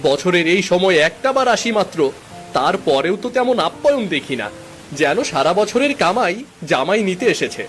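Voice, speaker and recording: Cartoon son-in-law male actor: high, animated, peevish and entitled, music underneath. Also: moderate pace. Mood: irritated complaining. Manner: complaining, entitled. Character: demanding, peevish young son-in-law, fussy about food. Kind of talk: Bengali cartoon dialogue.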